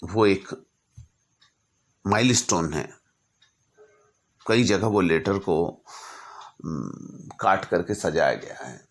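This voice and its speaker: Guy with a lowish voice, reflective storyteller type, kinda teachy, measured with pauses. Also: animated. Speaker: storyteller and speaker. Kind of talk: reflective storytelling, reciting a letter from memory with asides.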